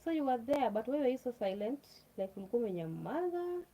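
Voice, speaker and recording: Kenyan woman, Luo speaker, livestream host, soft dark tone, rambling chatty delivery. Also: fairly high pitch, big pitch swings, fast talker. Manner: chatty, repeats herself, talks straight to viewers. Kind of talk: casual livestream chat.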